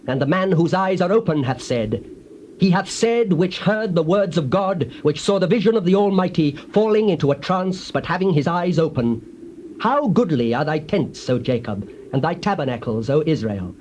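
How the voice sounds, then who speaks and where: An actor playing a solemn, defiant prophet in a dramatized audio Bible, declaiming grand oracles like incantations. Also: male voice, a little high, animated, normal speed, a little mellow, close to an everyday voice. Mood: solemn, prophetic. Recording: hissy old cassette tape.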